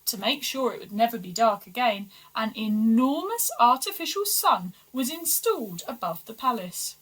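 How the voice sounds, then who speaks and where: A woman reading a children's storybook aloud with expressive, deliberate, dramatic emphasis. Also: fairly high pitch, poor audio. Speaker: story reader for schoolchildren.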